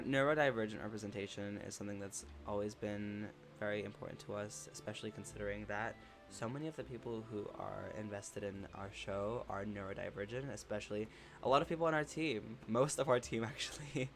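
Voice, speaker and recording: A man hosting a relaxed livestream, reading chat messages aloud and answering them supportively, with many fillers. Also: on the low side. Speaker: livestream host.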